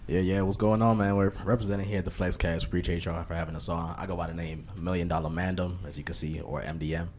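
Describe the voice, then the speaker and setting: A man's voice: deep voice, steady tone, quick pace, few pauses, darkish tone, a little hiss or hum. A man from Cameroon chatting casually as a podcast guest, in laid-back slang with repeated filler phrases. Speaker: podcast guest, MC and event host. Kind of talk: casual interview chat.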